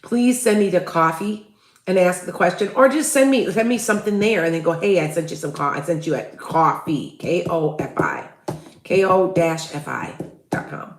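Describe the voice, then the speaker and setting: A woman's voice: on the low side, expressive, normal speed, echoey room. A woman with a Chicago accent, hosting a casual livestream and talking in loose, rambling sentences full of restarts. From Midwest US. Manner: rambling, many restarts, asks viewers for tips. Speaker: psychic livestream host.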